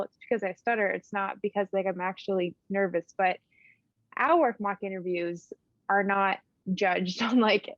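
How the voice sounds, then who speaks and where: A woman's voice: mid-range voice, dry recording, muffled recording. Young woman chatting easily on a panel. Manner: relaxed, conversational, light laughs, occasional stutter. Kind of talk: casual panel discussion.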